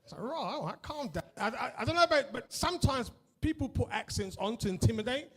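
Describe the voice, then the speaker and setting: High-voiced East London stand-up comic. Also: male voice, expressive, fairly quick, husky. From East London, England. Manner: chatty, swears freely, many repeated tags like "innit" and "right". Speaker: stand-up comedian. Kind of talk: stand-up comedy set with crowd work. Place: comedy club with a live audience.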